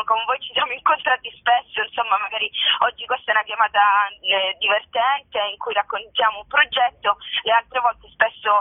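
A woman's voice: medium pitch, no echo. Hesitant woman on a tinny phone line. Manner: hesitant, searching for words with drawn-out pauses. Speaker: organizer of a theatre festival, guest. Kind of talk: casual radio interview. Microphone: telephone. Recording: tinny narrowband telephone line.

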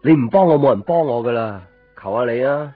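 A man's voice: medium pitch, lively intonation, a little fast, close to an everyday voice. An actor playing an earnest, anxious young man in a Cantonese radio drama. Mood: urgent, pleading. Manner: earnest, pleading. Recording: muffled 1990s radio recording with music behind.

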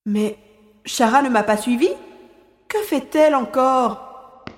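Woman doing impulsive lady agent on stakeout, high voice, thinking out loud in big echo. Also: takes it slowly. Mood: urgent, impatient. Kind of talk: audio drama acting. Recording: echoey hall-like reverb.

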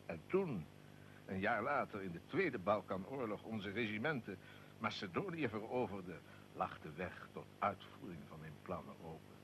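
A Serbian colonel who leads a secret society in a historical radio drama. He speaks in a low, authoritative voice with solemn, deliberate weight. Clear rumbling noise sits on the old radio recording. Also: lively intonation, a bit bright, low-quality recording. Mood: proud, measured.

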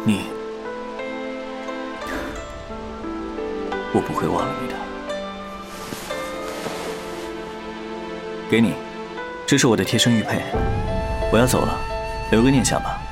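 A man's voice: fairly low pitch, lively intonation, quick pace. An actor playing a stern but caring male immortal in a costume fantasy drama, with a protective, scolding manner. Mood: tender. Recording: music under the dialogue.

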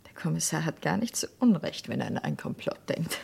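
Low, husky, probing woman. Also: sing-song, fairly quick, darkish tone, full character voice. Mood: wary, probing. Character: anguished, probing doctor's wife investigating a killing. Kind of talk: German radio crime drama acting.

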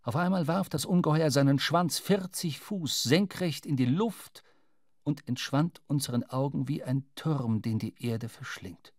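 A man narrating a literary radio play in a measured, dramatic storytelling manner. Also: a little high, darkish tone, no echo, studio quality. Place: dry studio.

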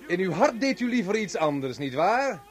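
An actor playing a chummy, unctuous radio reporter doing mock live commentary in a radio drama. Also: male voice, high-pitched, big pitch swings, normal speed, soft background music. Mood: jovial, ingratiating. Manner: cheerfully unctuous, chatty. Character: smarmy, chummy male radio reporter at a grim spectacle.

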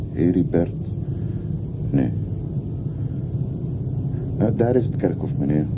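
An actor playing a humble, grieving older father in a radio play, speaking in a halting, respectful, solemn way. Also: a bass voice, heavily acted. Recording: muffled, noisy old radio play recording.

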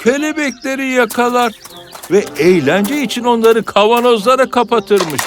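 A man's voice: very high-pitched, expressive, normal speed. A man narrating a children's fairy tale as a scripted storyteller. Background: background music.

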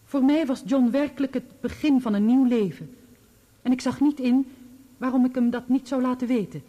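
An actress in a Dutch radio play, playing a loyal, bereaved woman who testifies in measured, articulate, formal sentences. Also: high-pitched, steady tone, dark tone, muffled recording. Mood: earnest, calm.

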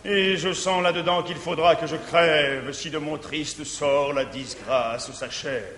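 An actor playing a jealous, tormented middle-aged guardian, declaiming classical French verse comedy in a grandiose, anguished manner on stage. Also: male voice, fairly high pitch, fairly even intonation, takes it slowly, a bit bright. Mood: brooding, agitated. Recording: 1950s theatre recording, echoey hall, faint hiss.

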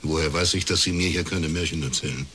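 A man's voice: very deep voice, steady tone, fast, bright, forceful tone, a little hiss or hum. An actor playing a sceptical, laconic, middle-aged private detective in a radio crime drama, a man who speaks dryly and tersely. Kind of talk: radio crime drama acting and first-person narration.

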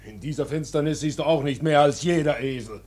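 A man acting a cheeky, fearful, garrulous second mate at sea in a radio play, chatty and excitable. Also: fairly high pitch, a little fast, lightly acted. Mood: cheeky defiance. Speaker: actor in a radio drama.

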